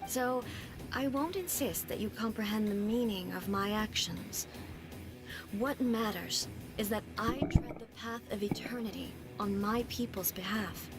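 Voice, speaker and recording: An actress voicing an aloof, commanding female ruler in a video game, speaking in a calm, measured and formal way. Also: mid-range voice, over music, close to an everyday voice. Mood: cool, detached.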